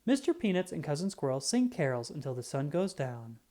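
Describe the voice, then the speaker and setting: A woman reading a children's storybook aloud in an expressive, unhurried way. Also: sits low. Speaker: children's book reader.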